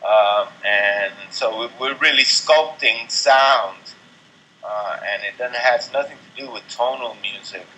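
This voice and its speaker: Slow, hesitant middle-aged man. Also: medium pitch, bright, forceful tone, poor audio. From Venezuela. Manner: slow, hesitant, many ums and restarts. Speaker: interview guest, artist and composer. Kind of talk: casual interview talk.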